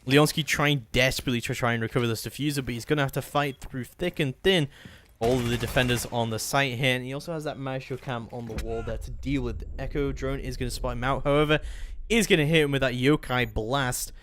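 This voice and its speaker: A male esports play-by-play commentator with a mid-pitched voice. He describes the match in a flowing, detailed stream, with noticeable noise and clicks behind him. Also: a little fast, a bit bright.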